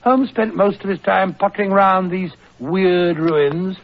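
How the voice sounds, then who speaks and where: An actor playing a middle-aged British doctor, a detective's easily rattled companion, in old-fashioned radio drama style. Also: male voice, sits high, a little slow. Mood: grave reminiscing. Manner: blustery, old-fashioned storytelling. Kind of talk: 1940s radio drama acting. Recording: muffled old-time radio recording.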